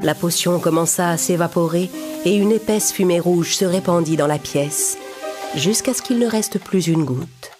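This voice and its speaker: A woman's voice: lowish voice, normal speed, music in the background. A woman reading scripted narration for a children's fairy-tale cartoon.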